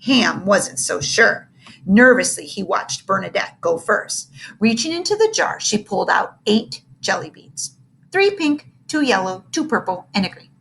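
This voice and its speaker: A woman reading a children's book aloud as storyteller, with an expressive, theatrical delivery. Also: medium pitch, a little slow, vocal fry, poor audio. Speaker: story reader.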